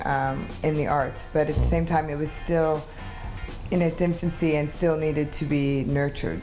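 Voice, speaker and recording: A woman who co-founded an artist residency, talking about it in a relaxed, reflective way with little fillers. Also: sits low. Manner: conversational, reflective, uses fillers like you know. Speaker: residency co-founder, art collector. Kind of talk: casual interview talk.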